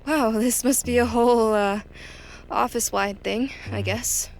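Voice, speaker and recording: A high-voiced, flustered new employee. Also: female voice, steady tone, unhurried, over music, low-quality recording. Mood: uneasy. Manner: hesitant, flustered, wryly indignant. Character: bewildered, reluctant new female office employee. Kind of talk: naturalistic audio drama acting.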